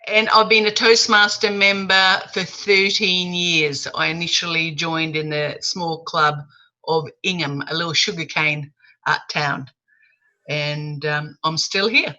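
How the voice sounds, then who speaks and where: A middle-aged woman introduces herself on a panel in brief, plain words. She speaks slowly, and her voice is bright and on the lower side. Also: steady tone, low-quality recording. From Sweden. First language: Swedish.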